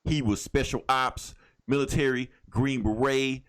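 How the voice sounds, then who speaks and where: A man's voice: medium pitch, steady tone, a little slow, fairly bright tone, clear, resonant tone. A man co-hosting a podcast in casual, opinionated fan banter with plenty of swearing. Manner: profane, opinionated fan talk. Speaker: podcast co-host. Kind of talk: casual pop-culture banter.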